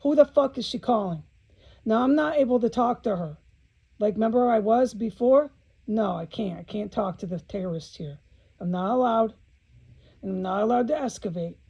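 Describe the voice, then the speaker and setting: Woman, low-lit mellow tone, raspy edge, informal monologue, emphatic, sweary, aggrieved, repetitive phrasing. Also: normal speed, highs cut off. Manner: blunt, profanity-laden, emphatic, repeating phrases. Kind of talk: informal spoken video monologue to viewers.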